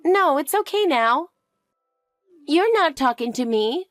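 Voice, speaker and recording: Woman playing a young girl, high voice, pleading questions, lively, dry close sound. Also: slow pace, no echo, soft background music. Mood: eager. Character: young girl, anxious and clingy.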